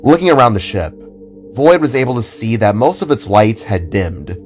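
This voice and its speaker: A man's voice: fairly low pitch, very animated, moderate pace. A man narrating a scripted audio drama as a dramatic storyteller. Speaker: narrator and writer. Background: music.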